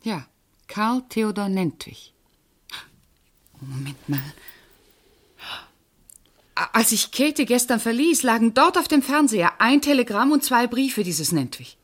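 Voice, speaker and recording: An actress playing a pointed, suspicious witness under questioning in a radio crime drama. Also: female voice, fairly high pitch, very animated, measured pace, long pauses. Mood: insistent. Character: pointed, suspicious female witness, friend of the victim. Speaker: actor.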